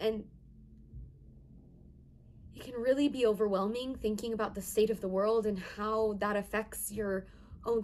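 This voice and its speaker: A woman acts as an earnest community organizer in her twenties, telling her story in a dramatized monologue. The voice is on the high side, and the delivery is conversational, like a personal account. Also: darkish tone, slight background noise, natural voice. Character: earnest young female community fridge organizer. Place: indoors, plain room.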